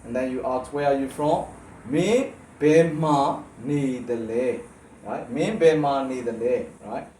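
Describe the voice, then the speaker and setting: A man whose first language is Burmese runs a relaxed language class at a brisk clip. He keeps checking that his listeners are following along. His voice reaches the microphone from across an echoey room, with a faint noise underneath. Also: a little high, distant mic. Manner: explains patiently, checks in with frequent "right?". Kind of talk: language lesson.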